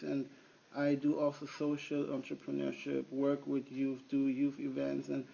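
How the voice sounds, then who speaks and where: A man who works as a youth pastor, answering at length in a halting way with frequent restarts. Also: a little high, vocal fry, mellow. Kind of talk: conversational interview answer. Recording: dull lo-fi recording.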